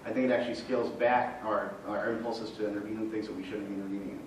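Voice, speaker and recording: A man speaking as a panelist in a discussion, in a measured, thoughtful way. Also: fairly low pitch, fairly even intonation, a little hiss or hum. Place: large conference room. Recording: echoey room, far from mic.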